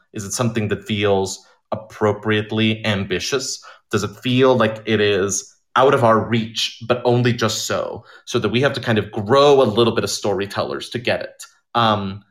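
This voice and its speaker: A man answering in an informal interview, speaking deliberately in measured phrases with pauses between them. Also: on the low side, a bit bright. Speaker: audio drama creator, interviewee. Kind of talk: informal live conversation interview.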